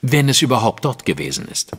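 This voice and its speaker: A man playing a cool, sceptical Victorian detective in an audio drama, speaking precisely and formally with a husky, condescending tone. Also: mid-range voice, very animated, brisk, a bit bright, long reverb tail. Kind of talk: theatrical German audio drama acting.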